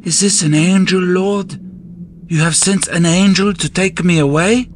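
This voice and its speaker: Slow, awestruck praying man acting a soldier. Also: sits high. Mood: awed, pleading. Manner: slow, halting, awed. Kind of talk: audio drama monologue.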